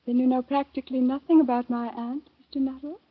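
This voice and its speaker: An actress playing a calm, sly teenage girl telling a grave tale, in 1930s radio acting style. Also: high voice, quick pace, husky. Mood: composed. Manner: composed, soft, grave. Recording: thin phone-like old radio recording.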